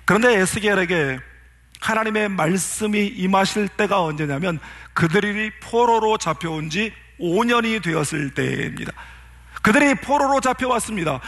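A man's voice: high voice, fairly bright tone. A man who is a pastor, preaching a sermon in an earnest way and putting rhetorical questions to his congregation.

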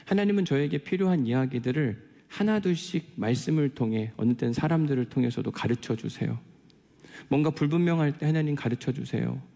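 A man preaches in a conversational, earnest way, weaving in personal stories. His voice is mellow and mid-pitched with a gravelly creak. Long hall reverb surrounds his words. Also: a little fast. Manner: conversational, earnest storytelling with rhetorical questions. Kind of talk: sermon with personal stories and diary reading.

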